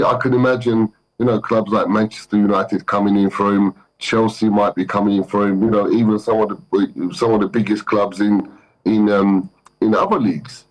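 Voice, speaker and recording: A man from Turkey with a London accent speaks as a guest in a remote interview. His voice sits fairly low, and he gropes for words with frequent fillers and restarts. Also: steady tone, normal speed, poor audio. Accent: London English. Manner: hesitant, many fillers and restarts. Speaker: football manager, guest.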